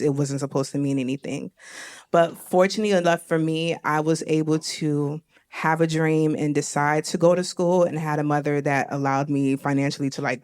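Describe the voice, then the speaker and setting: A young woman from Miami hosting a live stage conversation, talking candidly and laughing easily. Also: low-pitched. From Miami, Florida. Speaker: host. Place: live event stage before an audience.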